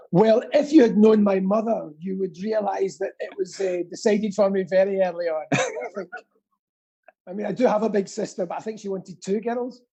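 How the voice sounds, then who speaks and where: A middle-aged British man hosts a live quiz in a husky voice. The tone is mellow, and he banters casually, repeating phrases theatrically for comic emphasis. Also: high voice, moderate pace, rough recording. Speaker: quiz co-host and pantomime dame performer.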